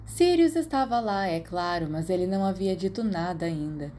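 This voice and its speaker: A woman's voice: lowish voice, animated, moderate pace, dark tone, a little hiss or hum. A Brazilian woman reading fiction aloud as scripted audiobook narration. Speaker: podfic narrator.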